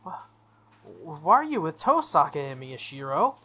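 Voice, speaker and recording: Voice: mid-range voice, big pitch swings, normal speed, bright, forceful tone, husky, heavily acted. A game player voicing a stiff, formal teenage student council president with a disapproving, grumbling manner.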